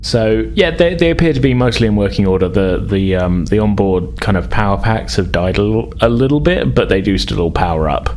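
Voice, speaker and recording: A man narrating a tabletop game as game master, improvising in a casual, halting way. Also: on the low side, lively intonation, darkish tone, slight background noise.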